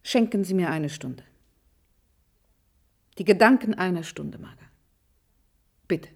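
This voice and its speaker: A woman's voice: fairly low pitch, lively intonation, takes it slowly, gravelly, husky, full character voice. Commanding old visionary woman. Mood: pleading. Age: older. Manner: authoritative, insistent, formal. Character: commanding, impatient old female visionary leader. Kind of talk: radio play dialogue.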